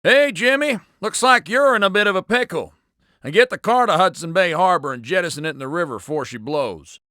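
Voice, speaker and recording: A man's voice: high voice, expressive, a little fast, pushed and bright, no echo, studio quality. A man with an American accent playing a casual, wisecracking intelligence agent in a video game. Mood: breezy. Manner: casual, folksy, joking. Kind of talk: video game character dialogue.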